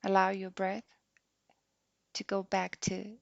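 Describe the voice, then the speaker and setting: Woman doing guided meditation, really slow n soft, long pauses, dull lo-fi dry recording. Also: mid-range voice, monotone, vocal fry, no echo. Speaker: yoga nidra meditation guide.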